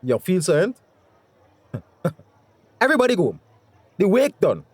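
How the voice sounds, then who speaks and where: Brash high-voiced Tobagonian man. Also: huge pitch swings, a little slow, a little mellow, no room sound, exaggerated voice. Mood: confrontational. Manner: confrontational, mocking. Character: brash, suspicious man challenging everyone at a wake. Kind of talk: radio drama acting.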